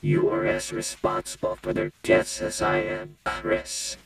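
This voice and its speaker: Creaky, low, creepy robot rabbit. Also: male voice, very animated, full character voice. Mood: accusing, sinister. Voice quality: gravelly, creaky. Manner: slow, mock-friendly, taunting. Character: creepy, broken male animatronic rabbit, playfully murderous. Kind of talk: villain voice lines for a horror game fan video. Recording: loud music under the voice.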